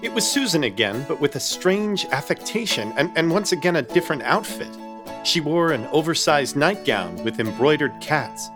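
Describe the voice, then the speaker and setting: A man's voice: fairly high pitch, big pitch swings, normal speed, over music. An actor playing an uneasy apartment hunter who narrates his ordeal in florid, wry scripted storytelling for a horror comedy radio play. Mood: wry, puzzled.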